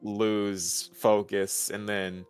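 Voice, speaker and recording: A man as a guest on a stream, chatting casually in an animated, informal way. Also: fairly low pitch, extremely slow, clean tone, dry recording, soft background music. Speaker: guest on a game discussion stream. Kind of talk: casual chat.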